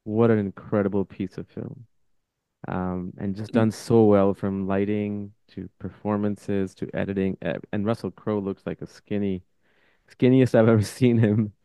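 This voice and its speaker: A man with a fairly low, smooth voice hosts a casual interview. He talks informally and effusively in loose phrases, recorded close and dry. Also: little pitch movement, unhurried, mellow, dry recording. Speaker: podcast host.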